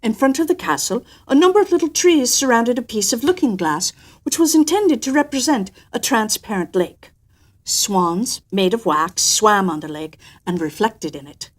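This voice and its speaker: Expressive woman storyteller. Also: on the high side, normal speed. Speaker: storyteller and host. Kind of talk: expressive storybook narration with an in-character personal anecdote.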